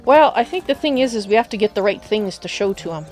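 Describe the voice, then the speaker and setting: A woman streaming a video game live, slightly hoarse from a cold, chatting with viewers and thinking aloud as she plays. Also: medium pitch, expressive, quick pace, a little mellow, soft background music, low-quality recording. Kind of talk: casual live gameplay commentary.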